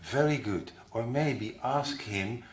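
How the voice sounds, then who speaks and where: A man coaching an interviewer, slowly suggesting what to ask. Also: mid-range voice. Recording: echoey room, faint noise.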